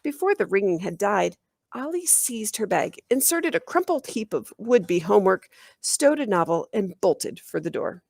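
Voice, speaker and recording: A woman reading a children's book aloud in an animated, expressive storytelling style. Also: mid-range voice, normal speed, creaky, no room sound, studio quality. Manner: animated, acts out dialogue.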